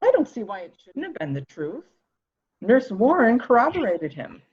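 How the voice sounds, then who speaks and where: A woman's voice: a little high, sing-song, normal speed, a little mellow, breathy edge, muffled recording. A woman playing an evasive, protective woman in a murder mystery reading, defensive and primly indignant. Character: evasive, protective woman guarding an excitable boy.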